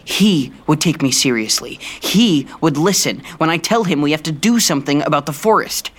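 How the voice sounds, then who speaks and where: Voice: mid-range voice, animated, normal speed, natural voice. An actor playing an earnest, frustrated teenage prince in an audio drama, youthful and a little petulant. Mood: sulky, wistful.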